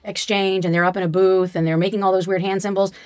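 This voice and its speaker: A woman's voice: mid-range voice, little pitch movement, darkish tone, dry recording, studio quality. A woman co-hosting a podcast, asking probing questions and summing up in casual conversation. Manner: quick probing questions and recaps. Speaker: podcast co-host.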